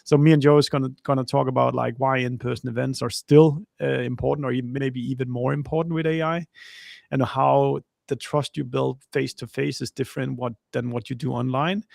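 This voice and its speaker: A man hosting a podcast interview, introducing his guest in a halting way, with lots of fillers and restarts and a small laugh now and then. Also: mid-range voice, creaky, a little mellow, no echo, studio quality. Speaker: podcast host, company co-founder.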